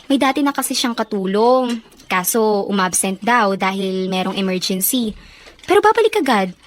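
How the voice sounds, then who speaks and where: An actress playing a dutiful, worried elder sister from a poor family in a Filipino radio drama, speaking earnestly and with drawn-out emotion. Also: female voice, mid-range voice, animated, lightly acted. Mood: earnest, reassuring.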